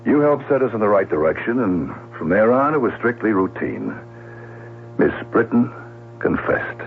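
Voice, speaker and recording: An actor playing a polite, matter-of-fact police lieutenant in a 1970s radio drama. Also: male voice, on the low side, big pitch swings, normal speed, slight background noise. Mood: courteous, matter-of-fact. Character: brisk, courteous male police lieutenant. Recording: tinny, humming old radio broadcast.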